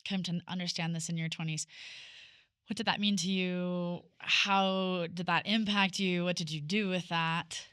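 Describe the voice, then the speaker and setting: A woman's voice: lowish voice, steady tone, a little slow, a bit bright, clear, resonant tone, no echo, studio quality. A woman hosting a podcast, asking long, open-ended questions with curiosity. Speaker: podcast host. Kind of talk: conversational interview.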